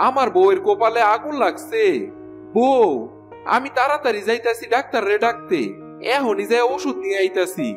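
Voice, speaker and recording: A foolish cartoon farmer with a high-pitched voice and a rural Bangladeshi Bengali accent. He talks in a naive, earnest, boastful way, sure of his own cleverness. Faint music runs underneath. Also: fairly bright tone. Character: foolish, self-satisfied village farmer and henpecked husband. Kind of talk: cartoon voice acting.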